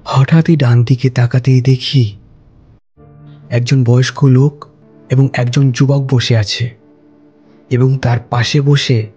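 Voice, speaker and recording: A man telling a first-person ghost story for a cartoon, calm and reflective. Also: mid-range voice, husky, muffled recording.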